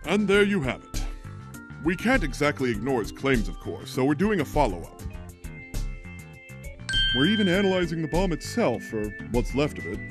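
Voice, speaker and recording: Hearty male detective, acted by a man, theatrical, self-important, highish swooping voice, game dub, faint music. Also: very animated, moderate pace, pauses often. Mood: businesslike. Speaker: fan dub voice actor. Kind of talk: animated video game fan dub.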